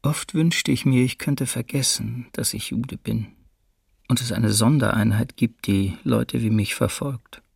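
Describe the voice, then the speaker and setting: Very gravelly, troubled man in hiding. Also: medium pitch, a little slow, no echo, studio quality, exaggerated voice. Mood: sober, troubled. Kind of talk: documentary radio play, memoir reading.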